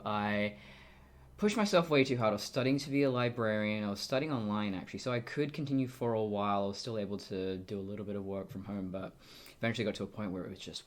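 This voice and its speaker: A young woman with a deep voice for a woman, talking casually and candidly to camera in a wry, self-interrupting way. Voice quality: deep voice for a woman, deepened by testosterone. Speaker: vlogger. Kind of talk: casual personal monologue to camera.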